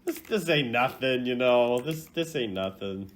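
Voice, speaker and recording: A young man giving casual, playful reaction commentary as a gaming video host, jumping between loud exclamations and low mutters. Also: lively intonation, a little slow. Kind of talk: casual reaction commentary ranking game voice lines.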